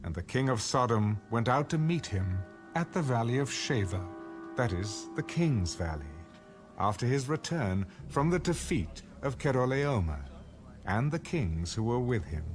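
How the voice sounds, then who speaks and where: A man's voice: medium pitch, big pitch swings, measured pace. A man reading scripture as a scripted, dramatized audio Bible narration. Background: background music.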